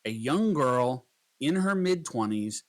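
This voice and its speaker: A man's voice: mid-range voice, expressive, slow. Man narrating a step-by-step tutorial.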